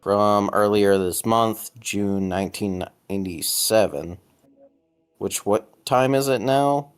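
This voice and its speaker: A man's voice: fairly low pitch, slow, clear, resonant tone, faint music behind. A man playing a tabletop game, reading case documents aloud in a casual way. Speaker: tabletop role-playing player.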